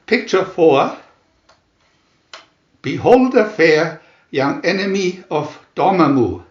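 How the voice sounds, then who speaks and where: A man reading comic book captions as a dramatic narrator, announcer-like, with long pauses between phrases. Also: on the high side, very animated, reverberant, dull audio. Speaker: narrator reading View-Master booklet captions.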